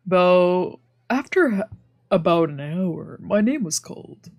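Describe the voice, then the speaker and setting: A woman's voice: on the low side, animated, a little slow, creaky, a little mellow. A woman streamer reading a visual novel aloud and chatting casually as she plays. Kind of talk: read-aloud narration with casual let's-play chat. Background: faint game music.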